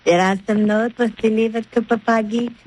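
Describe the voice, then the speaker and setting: A woman's voice: on the high side, fairly even intonation, moderate pace, darkish tone, slightly rough, poor audio. An actress playing a young woman aboard a ship who reassures her companion in the style of 1930s radio drama. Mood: soothing.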